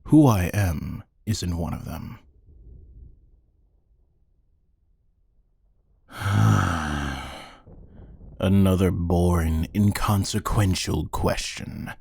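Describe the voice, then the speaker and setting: A man playing a bored, menacing hired interrogator in an ASMR roleplay, speaking in a deadpan, condescending, taunting way with a husky voice. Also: low voice, takes it slowly, dry recording, full character voice.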